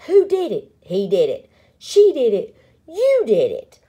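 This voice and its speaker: A woman voicing a crowd of small, excitable, squabbling prairie dogs for a storybook, shouting in short bursts. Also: sits high, slow, voice pushed up. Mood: confused, accusing.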